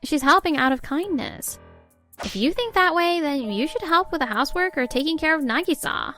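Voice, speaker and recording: High, animated female actor as worn-down young mother, exasperated and firm, comic-dub drama. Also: moderate pace, faint music behind. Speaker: voice actor in a comic dub, narrating and playing characters. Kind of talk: scripted dramatic narration and dialogue.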